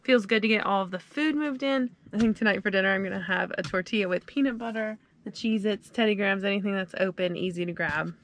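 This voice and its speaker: A woman's voice: medium pitch, quick pace, few pauses, a little hiss or hum. A woman in her thirties chatting upbeat to camera while packing inside a small camper van. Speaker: vlogger. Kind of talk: casual vlog narration.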